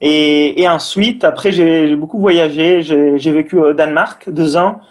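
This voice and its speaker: An Italian man in his thirties with a slightly high voice, speaking French as a second language. He talks casually and hesitantly, full of fillers, restarts and small grammar slips, as a guest explaining his path in an interview. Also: steady tone, a little slow. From Italy. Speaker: breathing and cold exposure instructor, guest.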